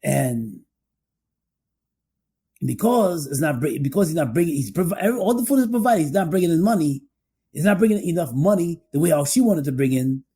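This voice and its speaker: Husky-voiced man in casual discussion. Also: fairly high pitch, expressive, mellow. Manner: halting, repeats phrases as he goes. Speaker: podcast co-host. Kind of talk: conversational relationship discussion.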